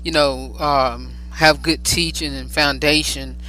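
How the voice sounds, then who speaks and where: A man's voice: fairly high pitch, fairly even intonation, takes it slowly, pushed and bright, slight background noise, low-quality recording. A man hosting a talk show, interviewing in a rambling way, with many fillers and frequent affirmations. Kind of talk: interview conversation.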